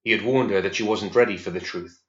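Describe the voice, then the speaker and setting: A man reading fantasy fiction as scripted narration in a measured, serious storytelling manner. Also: lowish voice. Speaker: story reader. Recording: roomy, slightly dull recording.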